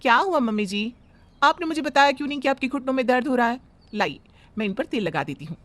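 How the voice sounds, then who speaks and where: A woman's voice: high voice, lively intonation, quick pace. A woman voicing a spoiled, cheeky young bride who talks back. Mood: sweet. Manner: cheeky, dismissive.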